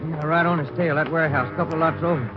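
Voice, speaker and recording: Casting: male actor as loyal American police sidekick, short plain remarks, dulled old radio sound under loud music. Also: on the high side, steady tone, rapid-fire, highs cut off, close to an everyday voice. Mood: urgent. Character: loyal, laconic male police detective partner. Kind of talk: 1940s radio drama acting.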